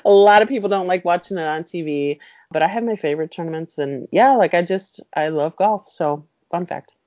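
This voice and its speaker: A middle-aged woman talking as a guest in a chatty, upbeat way. Her voice sits a bit low and mellow, and the dry, slightly dull audio has little room sound. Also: fairly quick, no echo. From Mexico City. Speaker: clinical psychologist guest. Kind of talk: casual interview chat.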